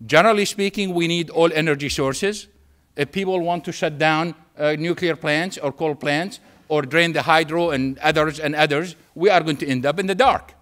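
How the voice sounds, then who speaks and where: Male lecturer, Syrian Arabic accent, native Arabic speaker, slightly high pitch, emphatic storytelling delivery with deliberate pauses. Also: a bit bright. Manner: emphatic, repeats phrases, pauses for effect. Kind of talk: public lecture with anecdotes and humour.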